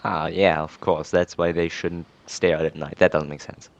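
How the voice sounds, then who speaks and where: A young man giving casual, sarcastic game commentary, halting and repeating his words. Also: low voice, level tone, vocal fry, muffled recording. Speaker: gamer recording a playthrough. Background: faint game music.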